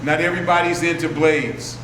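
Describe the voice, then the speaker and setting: An older man, a martial arts teacher, speaking in a relaxed, rambling way to a workshop group. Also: a little high, brisk. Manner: relaxed, rambling, warmly introducing. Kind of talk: workshop talk to students. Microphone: far from the mic. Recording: echoey room from far off, hum.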